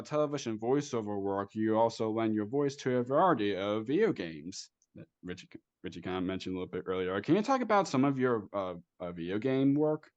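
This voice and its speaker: A man hosting a casual interview in an earnest, halting, repetitive way, recorded through a dull microphone. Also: on the low side, no room sound. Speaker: interview host.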